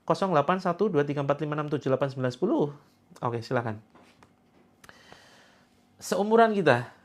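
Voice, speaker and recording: A man in his sixties leads a gathering as a preacher, his voice pitched slightly higher than most men's. He keeps things light, teasing his listeners and dropping quick jokes between his instructions. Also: expressive. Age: 60s. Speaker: preacher leading a healing session. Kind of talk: joking talk to a live gathering.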